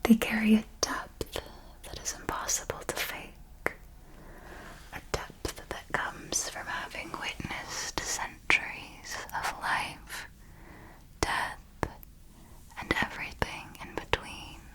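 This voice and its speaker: A woman plays an elegant female vampire mentor in an ASMR roleplay. She whispers throughout in a breathy hush, speaking very slowly and deliberately. Her manner is refined, patient and knowing, like a teacher guiding a pupil. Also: natural voice, rough recording. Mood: hushed, instructive. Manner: slow, deliberate, refined, instructive.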